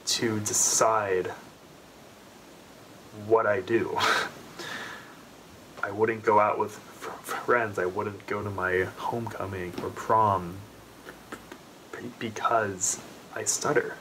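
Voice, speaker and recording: A man's voice: on the low side, steady tone, drawn-out words, pauses often, sharp tone, slight background noise, poor audio. A young man in his twenties who stutters, talking openly to the camera in his small bedroom. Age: 20s. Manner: stutters, with repeated sounds and restarts. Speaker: vlogger. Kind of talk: heartfelt personal talk to camera. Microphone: camera in front at close range.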